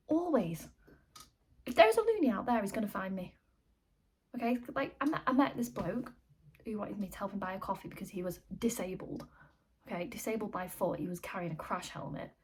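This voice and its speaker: A woman's voice: mid-range voice, darkish tone, slightly rough. An actress playing an agitated, chatty woman fed up with pestering strangers, in a blunt, rambling contemporary stage monologue.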